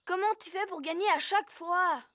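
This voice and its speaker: A woman's voice: extremely high pitch, fairly quick, bright, forceful tone, no room sound. A woman voicing a snooty young boy squirrel in a French cartoon dub, putting on grown-up airs. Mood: haughty.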